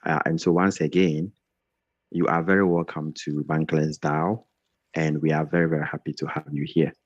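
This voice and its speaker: A man's voice: rumbling low, little pitch movement, moderate pace, dry recording. A man hosting an onboarding session on a video call, earnest and repetitive, often restarting his phrases. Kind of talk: welcoming group presentation on video call. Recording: dull, dry video call audio.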